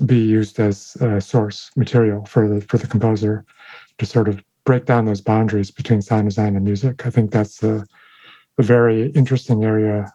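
A man whose first language is Chinese, speaking as a guest in an interview. He talks in a thoughtful, halting way with many fillers, in a mellow, darker tone. The recording sounds dull and lo-fi, with no room echo. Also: on the low side, level tone, breathy edge. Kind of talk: interview conversation.

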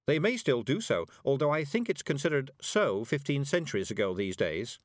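A man voicing a composed, dryly stern female witch in a storybook, speaking in a measured, articulate way. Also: medium pitch, big pitch swings, no room sound, studio quality, voice pushed up, close to an everyday voice. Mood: curious, dry.

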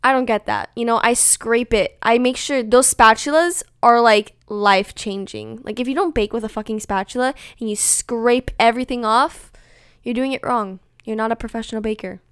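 A young woman chats on her own podcast, animated and exasperated, full of likes and ums. Her voice sits a little high and sounds smooth and crisp. The close home mic gives a dry sound with no room echo. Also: moderate pace. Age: young adult. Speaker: podcast host. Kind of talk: casual solo podcast chat.

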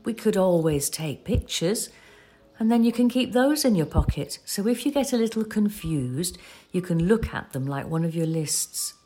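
An actress playing a patient, practical elderly wife in an audio play, explaining things in a fond, conversational way. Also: female voice, lowish voice, animated, normal speed, lightly acted. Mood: reassuring. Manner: conversational, gently explaining, reassuring. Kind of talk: audio play acting with reflective narration.

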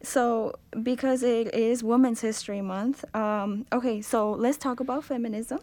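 A woman's voice: a little high, steady tone, moderate pace, a little mellow, no room sound. A young woman hosting a student podcast. She asks open questions in a friendly way and backs up the other speakers with frequent murmurs. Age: young adult. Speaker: podcast host. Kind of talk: casual panel discussion.

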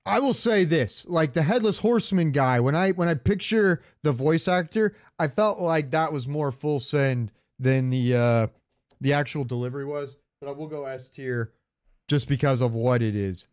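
A man chatting as a gaming podcast host, his voice a bit higher than average. He is opinionated and swears freely as he rambles, and the sound is very dry, with no room to it. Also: moderate pace, no echo. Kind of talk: casual gaming chat.

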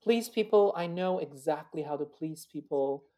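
A man's voice: moderate pace, warm tone. A man, a guest opera singer talking earnestly and reflectively in an interview, often restarting and repeating words. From China. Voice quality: high male voice. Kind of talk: interview conversation.

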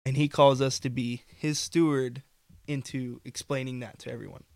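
A man co-hosting a podcast in casual faith discussion, earnest and rambling, his voice going hoarse. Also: mid-range voice, steady tone, normal speed, dry recording, studio quality. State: losing his voice, coughing. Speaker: podcast co-host.

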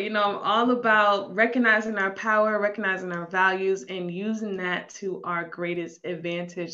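Upbeat woman podcast host, bright tone. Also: medium pitch, lo-fi sound. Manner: upbeat, encouraging. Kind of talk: podcast interview.

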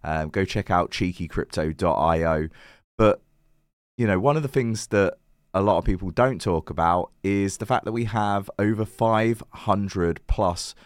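A man with a very low voice, croaky and worn from a recent illness. He talks through crypto picks in a loose, chatty way, full of fillers and self-corrections. The sound is close and dry, with no room around it. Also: a little slow, dry recording. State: croaky, recovering lost voice. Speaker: crypto channel host. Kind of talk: casual to-camera crypto commentary.

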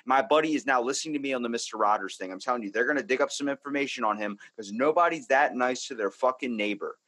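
A man with a Baltimore accent chatting casually on a podcast, telling animated stories and acting out quotes. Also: mid-range voice, quick pace. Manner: animated storyteller, acts out quotes.

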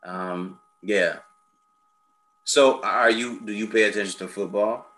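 A man's voice: on the low side, steady tone, takes it slowly, bright, forceful tone, rough recording. An American man from Chicago hosting a casual chat, blunt and opinionated, swearing freely in an informal way. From South Side of Chicago, United States. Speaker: host.

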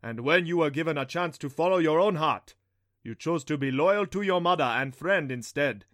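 A man voicing a big, hearty male thunder god with a loud, booming, jovial delivery in a storybook narration. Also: lively intonation, moderate pace, no room sound, clean studio sound. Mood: approving. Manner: laughs heartily, exclaims grandly.

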